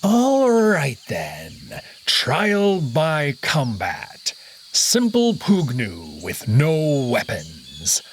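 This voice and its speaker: A man voicing a slow, menacing old wolf. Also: mid-range voice, huge pitch swings, a little hiss or hum, voice pushed up, exaggerated voice. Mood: coldly scheming. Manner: slow, drawn-out threats. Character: old scarred male wolf, menacing usurper.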